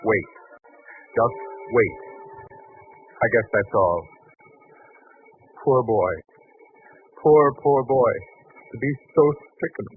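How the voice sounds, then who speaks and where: A man's voice: mid-range voice, lively intonation, takes it slowly, long pauses, over music. An actor playing an anguished, indecisive husband and father in a 1940s radio drama, hesitant and brooding. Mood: grave. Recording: thin, muffled 1940s radio recording.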